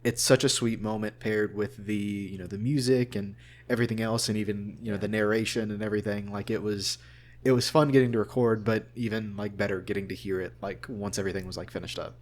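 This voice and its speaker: A man's voice: lowish voice, steady tone, fairly quick. A man talking casually and at length in an interview, as a voice actor. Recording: clear background hum and noise.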